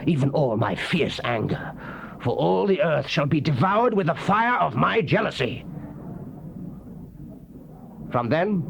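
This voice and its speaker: A man's voice: a little high, dull audio. A man with a British accent gives a solemn, theatrical, declamatory dramatized reading of the King James Bible. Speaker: dramatic scripture reader. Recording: noisy old cassette tape recording.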